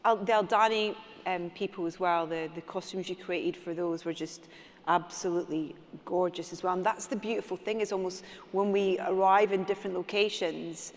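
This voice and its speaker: Playful moderator, medium pitch, long hall reverb. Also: fairly even intonation, normal speed. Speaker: panel moderator. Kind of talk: moderating a panel. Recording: echoey hall, faint noise.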